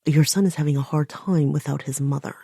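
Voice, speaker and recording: A woman's voice: low-pitched, fairly even intonation, a little fast, vocal fry, mellow, slightly rough, no echo, clean studio sound, voice pushed down, full character voice. A woman voicing a slick, menacing male blackmailer who purrs his threats in a half-whisper.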